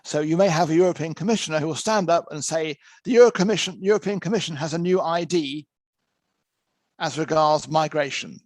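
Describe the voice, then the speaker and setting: A man's voice: a little high, brisk, darkish tone, no room sound. A native English-speaking man gives an online lecture in a slightly raised voice. He talks loosely and conversationally, repeating himself as he works through examples. The call audio is close and dry, with no room sound. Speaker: interpreting lecturer. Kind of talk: online master class lecture. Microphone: video call.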